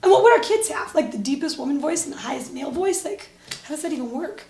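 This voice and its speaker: A woman co-hosting a casual chat show, telling stories in an animated way and laughing often. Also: high voice, fast, slight background noise. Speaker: co-host. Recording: echoey room.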